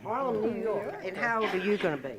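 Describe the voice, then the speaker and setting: A woman runs a birthday gathering with quick jokes and friendly questions. A crowd chatters around her in a busy hall. Her pitch sits in a middle range. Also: animated. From Georgia. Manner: chatty, teasing, quick to joke. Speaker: event host at a senior birthday gathering. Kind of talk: casual emcee chat, asking names.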